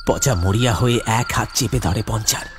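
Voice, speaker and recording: A man's voice: lowish voice, moderate pace, over music. A man telling a scripted horror story as a dramatic narrator. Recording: clear background noise, ambience.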